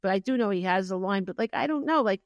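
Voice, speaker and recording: A woman's voice: medium pitch, animated, fast, no echo. A woman hosting a podcast, chatting casually and opinionatedly in an exasperated way with lots of rhetorical questions. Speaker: podcast host.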